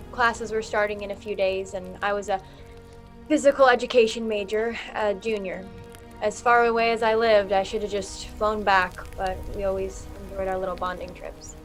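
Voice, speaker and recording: A woman's voice: medium pitch, natural voice. A woman playing a young female survivor reminiscing in a drama table read. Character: young female college student or clerk, survivor. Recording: video-call read over music.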